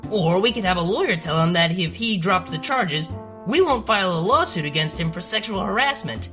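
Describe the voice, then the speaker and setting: A woman voicing a loyal, teasing, excitable female cartoon unicorn with a casual, easygoing way of talking. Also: fairly low pitch, fairly quick, faint music behind. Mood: casual, curious.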